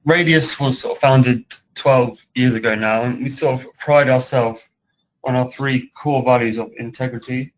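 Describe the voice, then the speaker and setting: British guy doing a webinar talk, really monotone, lowish voice, bit of background noise. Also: moderate pace, rough recording. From United Kingdom. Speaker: guest speaker, project director. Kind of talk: webinar presentation.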